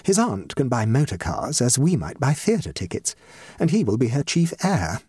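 A man's voice: a little high, huge pitch swings, moderate pace, a little mellow, slightly rough, no room sound, clean studio sound, voice pushed up, exaggerated voice. A man voicing a middle-aged society hostess, an anxious matchmaking mother with an emphatic, effusive manner, in a comic story. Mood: grave, imploring. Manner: emphatic, effusive, self-dramatizing. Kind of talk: comic short story reading.

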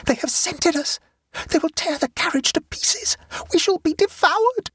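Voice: medium pitch, big pitch swings, fairly quick, slightly rough, no room sound, clean studio sound, voice pushed up. A narrator voicing an anxious, dramatic male train traveller in a storybook, urgent and tremulous. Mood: terrified.

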